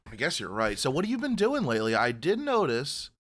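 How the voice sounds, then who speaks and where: A man with a bright, forceful voice rambles through a casual chat. His pitch jumps up and down a lot as he swears and restarts his sentences. He talks loosely, like a friend telling a story. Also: huge pitch swings, fairly quick. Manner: rambling, profane, self-correcting. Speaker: podcast co-host.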